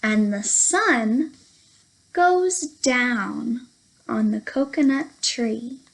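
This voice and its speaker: A young woman reads a picture book to toddlers in a high, bright voice. She speaks very slowly and in a sing-song way, full of excitement and playful whispers. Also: creaky, low-quality recording. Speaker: children's storytime presenter. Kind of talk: reading a picture book aloud to small children.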